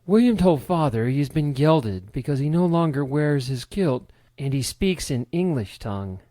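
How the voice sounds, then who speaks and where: A man's voice: fairly high pitch, animated, moderate pace, dark tone, no echo, lightly acted. A man voicing an eager young woman with a lilting way of speaking. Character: young woman, cheerful niece.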